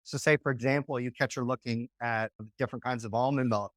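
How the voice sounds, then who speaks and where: A man's voice: mid-range voice, fairly even intonation, brisk, no echo. A man coaching on dating in a podcast interview, talking casually and often checking in with "right?".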